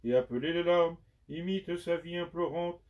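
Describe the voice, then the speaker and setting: A man giving a slow, solemn liturgical recitation of penitential prayers in measured, prayerful phrases. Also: a little high. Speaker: liturgical reader. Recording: dull sound from far off the mic.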